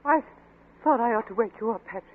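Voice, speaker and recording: A woman's voice: a little high, lively intonation, fast. A woman playing a worried, timid woman in a 1940s radio suspense drama, earnest and urgent. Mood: concerned. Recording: tinny old radio recording, faint noise.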